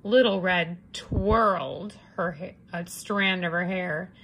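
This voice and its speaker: A woman reading a picture book aloud to children as an expressive storyteller. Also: lowish voice, slow, a little hiss or hum, rough recording.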